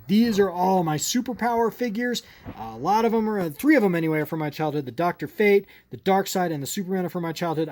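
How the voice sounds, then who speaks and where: A middle-aged man hosting a toy collecting channel, rambling through a casual room tour with lots of filler and restarts, his voice going hoarse. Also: mid-range voice, a little mellow. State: losing his voice.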